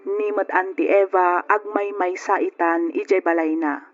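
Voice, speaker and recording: A woman's voice: on the low side, steady tone, unhurried, natural voice. A woman with an Ilocano accent playing a reflective mother who narrates her family's story in an old radio drama. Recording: dull lo-fi radio drama recording. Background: music.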